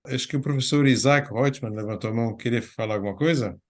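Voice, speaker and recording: A man moderating a panel talk at a middle pitch. He explains his points with fillers and hands the floor to other speakers. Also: quick pace. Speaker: panel host.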